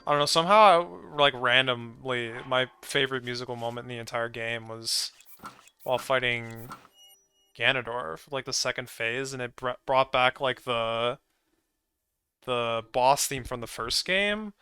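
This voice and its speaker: Casting: male co-commentator, bright crisp voice, casual gaming chat, faint music behind. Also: mid-range voice, a little slow. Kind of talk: casual chat over gameplay.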